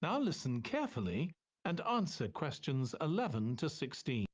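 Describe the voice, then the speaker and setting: A man's voice: fairly high pitch, very animated, normal speed. A man reading scripted, formal test instructions as an exam announcer.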